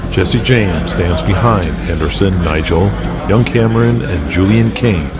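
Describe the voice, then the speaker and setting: A male narrator with a very low-pitched voice delivers scripted, present-tense dramatic narration. Music runs clearly underneath, as in a serialized audio drama. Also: a little slow.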